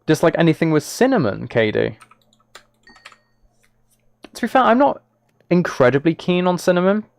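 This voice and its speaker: A man's voice: a little high, very animated, moderate pace, gravelly. A man chatting casually on a live game stream, thinking aloud as he plays and replying to viewers.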